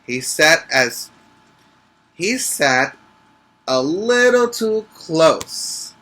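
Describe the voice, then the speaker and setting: A man who teaches preschool, reading a picture book aloud emphatically, stretching key words and pausing to explain things to the children. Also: on the high side, very animated, pushed and bright. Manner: instructive, checking in with listeners.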